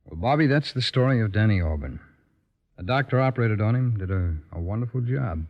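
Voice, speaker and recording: Low-voiced fatherly lawman, gently persuasive. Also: animated, brisk. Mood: earnest. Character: patient fatherly lawman counselling a troubled youth. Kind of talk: old-time radio drama acting. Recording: muffled old radio recording.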